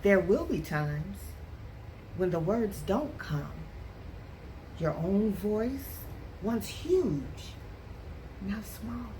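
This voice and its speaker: A woman reading a picture book aloud as a teacher does for young students, with deliberate, expressive phrasing. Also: mid-range voice, noisy background. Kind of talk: expressive read-aloud storytelling for children.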